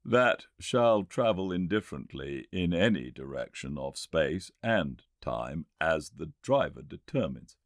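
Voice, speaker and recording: A man voicing a confident, reasoning gentleman inventor in a storybook reading, with a measured, lecturing manner. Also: rumbling low, expressive, dry recording, clean studio sound. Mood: calm, assured. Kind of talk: audiobook character reading.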